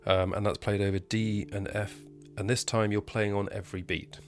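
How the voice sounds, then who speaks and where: Hoarse man teaching piano, low voice. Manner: relaxed, halting, counts beats aloud. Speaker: piano tutorial host. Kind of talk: casual piano tutorial. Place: at home, indoors. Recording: piano playing behind the voice.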